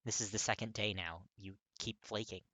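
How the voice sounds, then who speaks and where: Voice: low voice, fairly even intonation, moderate pace, bright, forceful tone, no room sound. A performer voicing a hot-tempered, foul-mouthed teenage boy with a harsh, abrupt, aggressive delivery. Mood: furious.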